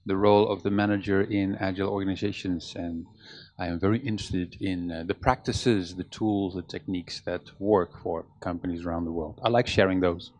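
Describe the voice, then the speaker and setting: A man introducing himself on a conference panel in a measured, deliberate way. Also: sits low, steady tone, a little mellow, no room sound. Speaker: author and panelist.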